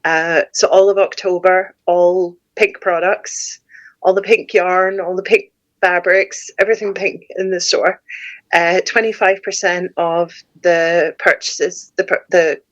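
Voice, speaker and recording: A Scottish woman talks casually as a guest, easygoing and a bit hesitant, with fillers. Her voice sits a little on the low side. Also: fairly even intonation, a little slow. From Scotland. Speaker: guest, shop owner. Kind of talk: casual conversation.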